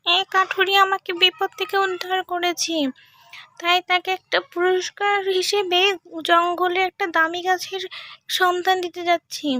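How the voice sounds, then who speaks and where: A woman's voice: very high-pitched, little pitch movement, normal speed, sharp tone, dry recording. A woman voicing a small, grateful talking fox in a cartoon story, polite and earnest in manner.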